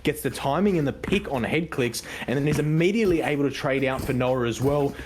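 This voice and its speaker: A man's voice: mid-range voice, expressive, brisk, a little hiss or hum. A man casting esports, exclaiming at plays and then breaking them down. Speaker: esports color caster. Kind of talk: excited esports commentary.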